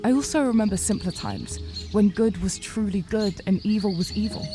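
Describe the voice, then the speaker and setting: A woman's voice: mid-range voice, moderate pace, music in the background, natural voice. A woman playing an earnest, conflicted young rabbit healer's apprentice in a fantasy video game. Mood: weary, doubtful. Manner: earnest, troubled, guarded. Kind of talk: dramatic video game dialogue.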